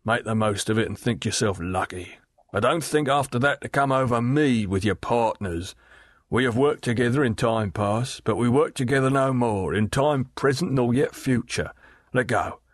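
A gruff, surly old boatman, as a man reads him, blunt and hard in his speech. The voice sits at a middle pitch and is recorded dry and close. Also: moderate pace, no room sound, close to an everyday voice.

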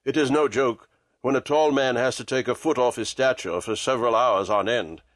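A man voicing a lean gentleman detective in a storybook, measured, precise and nonchalant, cool and commanding. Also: mid-range voice, no room sound, voice pushed down, close to an everyday voice. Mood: composed, assured.

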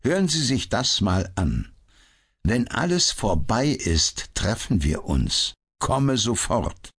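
A man's voice: on the low side, sing-song, takes it slowly, fairly bright tone, breathy edge, pitched above the performer's own voice, full character voice. A man reading an audiobook, voicing an indignant, bitter police inspector who barks his words. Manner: blustering and bitter. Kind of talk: audiobook character voice.

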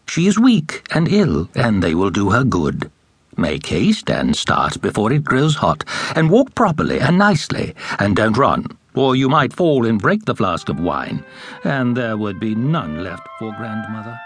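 A gentle storybook mother, acted by a male narrator, fussing over her little girl. The delivery is careful and coaxing, with a pitch that swings widely up and down. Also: very animated, measured pace, husky, no echo, faint music behind, exaggerated voice.

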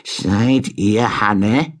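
A man voicing a sinister, ragged old woman in a fairy tale, with an unpleasant croak and slow, repetitive muttering. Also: lowish voice. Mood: creepy, probing. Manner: slow, muttering, repeats her words.

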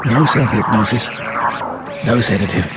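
A man narrating an audiobook in a dramatic first person, theatrically savouring his words with long pauses. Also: on the low side, heavy background noise.

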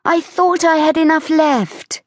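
A woman voicing a glamorous, daring young socialite who talks in a bright, breezy, teasing way. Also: high voice, measured pace, no echo, studio quality, pitched above the performer's own voice.

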